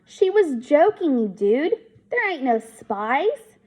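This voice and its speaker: A woman's voice: sits high, very animated, takes it slowly, a little mellow, voice pushed up, exaggerated voice. A woman voicing a cocky, teasing third-grade boy in a storybook, with a blunt, mocking, slangy way of talking.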